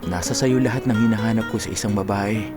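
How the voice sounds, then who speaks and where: A man's voice: fairly low pitch, fast, natural voice. A Filipino actor in a radio drama playing an earnest, playful young man in his twenties who is in love. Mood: tender, confessing. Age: 20s. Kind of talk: Tagalog radio drama acting. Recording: music behind the dialogue.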